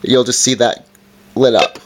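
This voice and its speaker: Man, informal product reviewer, medium pitch, casual hands-on explaining, faint background noise. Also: steady tone, a little slow. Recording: background noise with handling clicks.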